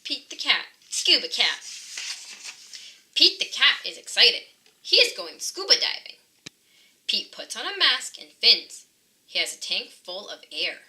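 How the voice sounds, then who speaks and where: A very bright female voice narrates a children's picture book slowly. Her delivery is expressive and sing-song, with lively pitch swings. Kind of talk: scripted storybook narration.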